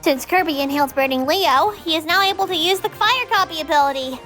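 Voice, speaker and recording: A woman's voice: very high-pitched, expressive, brisk, fairly bright tone, faint music behind. A woman voicing a small, timid, caring male sidekick creature in a fan radio play, earnest, anxious and hesitant. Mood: amazed.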